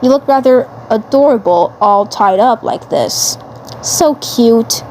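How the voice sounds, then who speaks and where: A woman acts out a possessive alien commander from a cartoon fan drama, smitten and menacing. The pitch sits high and the delivery is slow and gloating, with phrases repeated. A faint noise runs under the recording. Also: lively intonation, a little mellow, poor audio. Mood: teasing, smitten.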